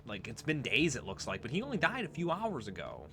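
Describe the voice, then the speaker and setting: A man with a mid-pitched voice chats through a video game as a let's player. He rambles casually as he explains the lore, with faint music behind him. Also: wildly swooping pitch, fairly quick, gravelly. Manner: rambling, conversational. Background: game music and audio.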